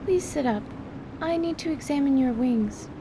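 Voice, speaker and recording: A woman playing a calm, clinical surgeon in an audio drama, speaking formally and precisely. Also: sits high, moderate pace, noisy background. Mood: composed. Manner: formal, precise, articulate diction.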